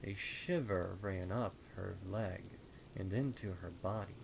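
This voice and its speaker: A man with a lowish voice narrates a dark horror tale. His delivery is slow and suspenseful, with deliberate phrasing, over clearly audible rain. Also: a little hiss or hum. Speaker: fan fiction narrator. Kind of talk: dramatic horror story narration.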